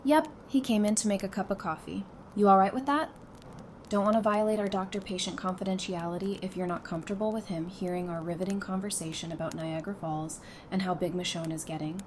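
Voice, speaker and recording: A woman playing a sassy, sarcastic, quick-witted wife with dry, teasing delivery in an audio drama. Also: mid-range voice, fairly even intonation, close to an everyday voice. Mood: dry, playful. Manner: dry, teasing, matter-of-fact. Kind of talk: fan audio drama acting. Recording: clearly noisy background.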